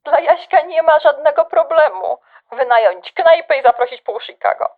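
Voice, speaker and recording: A woman's voice: high-pitched, expressive, moderate pace, narrow, tinny audio. A middle-aged actress playing a chatty, slightly scatterbrained mother of a grown daughter in an audio drama. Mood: animated, practical. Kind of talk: naturalistic audio drama dialogue.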